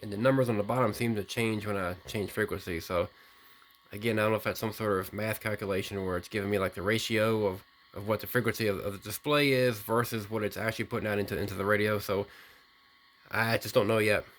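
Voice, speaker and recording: Fast-talking man thinking aloud. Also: lowish voice, fairly even intonation, a bit bright. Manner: rambling, technical, thinking aloud. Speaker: radio hobbyist video host. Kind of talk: unscripted product demonstration.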